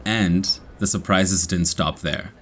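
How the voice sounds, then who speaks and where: Deep-voiced Kenyan man reading slowly. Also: fairly bright tone. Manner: slow, clear, measured reading. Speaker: storyteller. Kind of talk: scripted personal story for learners.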